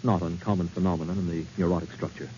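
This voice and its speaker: An actor playing a brave, level-headed young intern, earnest and measured, in 1940s radio drama style. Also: male voice, low voice, flat delivery, lightly acted. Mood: calm, resolute. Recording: dull, hissy 1940s radio recording.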